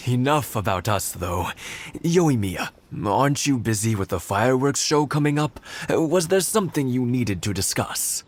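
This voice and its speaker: A man playing a mild, reflective elderly father in a video game, speaking in a measured way. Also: medium pitch, animated. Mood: genial.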